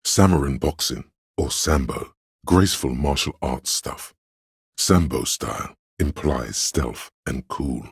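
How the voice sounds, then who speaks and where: Very deep, husky encyclopedic inner voice. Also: male voice, no echo, exaggerated voice. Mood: measured, knowing. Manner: measured, dry, scholarly delivery of facts. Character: erudite, worldly inner voice of encyclopedic knowledge, male. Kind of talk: scripted game narration.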